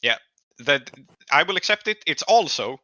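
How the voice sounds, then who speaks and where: A man's voice: fairly high pitch, sing-song, normal speed, bright, forceful tone, no room sound, lo-fi sound. A man working as game master, narrating and making rulings in a conversational way.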